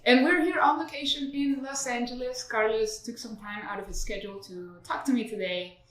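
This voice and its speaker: A woman's voice: on the high side, steady tone, fairly quick. A woman hosting a podcast interview, friendly and upbeat in a casual conversation. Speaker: podcast host. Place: office. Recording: echoey room.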